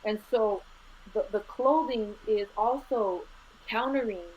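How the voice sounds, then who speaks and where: Middle-aged woman guest, earnest, unhurried, mixes in Spanish, slightly dull recording. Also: fairly high pitch. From Los Angeles, US. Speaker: guest, school administrator. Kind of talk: conversational interview. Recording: slightly dull lo-fi audio with faint noise.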